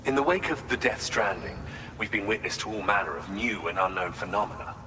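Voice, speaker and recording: A man's voice: fairly low pitch, brisk, big-room sound, lightly acted, rough recording. An actor playing a courteous, eager male researcher in a video game, speaking in formal, articulate phrases. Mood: measured, explanatory. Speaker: researcher character. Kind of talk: video game cutscene dialogue.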